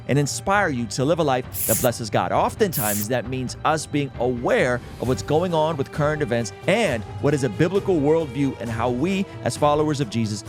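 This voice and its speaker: A man from Azerbaijan hosting his own channel, giving earnest, emphatic commentary straight to his viewers. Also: mid-range voice. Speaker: YouTube host. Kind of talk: faith-based commentary on current events.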